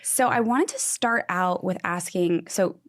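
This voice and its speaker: Woman, somewhat low pitch, podcast host, conversational interviewing. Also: a little slow.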